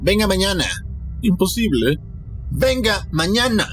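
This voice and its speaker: A man voicing a smug, scheming eccentric in a dramatic audiobook, speaking deliberately with faint menace. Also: high-pitched, expressive, a bit bright, pitched above the performer's own voice. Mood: curt. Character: Mr. Wilde, sinister, boastful, scheming eccentric man. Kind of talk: dramatic audiobook character reading. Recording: music behind the voice.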